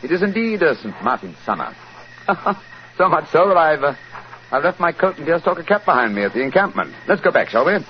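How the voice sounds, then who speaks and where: An actor playing an assured, urbane English gentleman detective with a British accent, in 1940s radio drama style. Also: fairly high pitch, big pitch swings, brisk. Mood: urbane, teasing. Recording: muffled, noisy 1940s radio recording.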